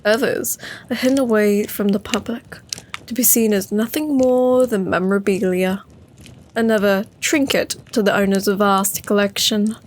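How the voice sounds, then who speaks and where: A woman's voice: mid-range voice, steady tone, moderate pace, slight background noise, lightly acted. An actress playing a brooding, secretive woman, delivering an ominous, theatrical monologue in an audio drama. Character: brooding, secretive female narrator hunting a collector's secrets.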